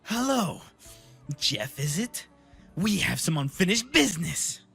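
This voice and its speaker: An actor playing a sadistic teenage boy bully in a horror audio drama, with a raspy, menacing, drawn-out taunting delivery. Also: mid-range voice, very animated, bright, forceful tone, faint music behind, heavily acted.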